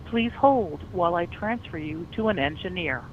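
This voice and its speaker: A man's recorded voice reading an automated phone menu in an even, formal tone over a muffled, noisy line. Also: high-pitched, normal speed.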